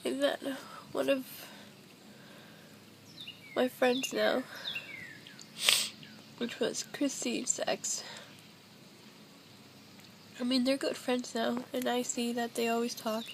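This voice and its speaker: A woman's voice: fairly high pitch, level tone, slow, vocal fry, long pauses, heavy background noise. A young adult woman talking casually and hesitantly in a personal confessional vlog, recorded at home on a built-in device mic.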